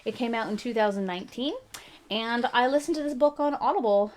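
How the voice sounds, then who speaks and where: Chatty gravelly-voiced woman reviewing books. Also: a little high, brisk, a little mellow. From Canada. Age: 30s. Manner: chatty and self-deprecating, with frequent laughs and restarts. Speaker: book reviewer, channel host. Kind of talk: casual spoken book review.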